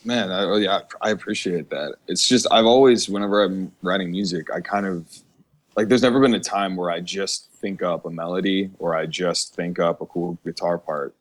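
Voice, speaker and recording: A man's voice: deep voice, moderate pace. A man from the United States, a musician guest in a casual interview, speaking earnestly and emphatically with some swearing.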